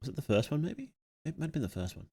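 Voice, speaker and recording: A man's voice: fairly high pitch, sing-song, quick pace, warm tone, husky. A man giving casual livestream commentary, thinking aloud as he goes, musing and questioning himself. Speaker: streamer reacting to horror videos.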